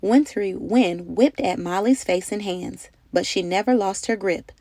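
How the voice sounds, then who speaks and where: A woman narrates a children's storybook with expressive, emphatic phrasing. Her voice sits at a medium pitch with some gravelly fry, recorded close and dry. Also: a little slow, no room sound. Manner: dramatic, carefully phrased storytelling with emphatic delivery. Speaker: storybook reader.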